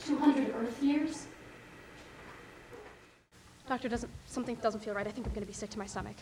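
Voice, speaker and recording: A woman's voice: on the high side, normal speed, a little hiss or hum, natural voice. An actress in a staged musical reading, playing a distraught scientist mother woken from cryo sleep, speaking and singing. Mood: bewildered, queasy. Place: live theatre stage. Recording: echoey theatre stage, loud piano accompaniment.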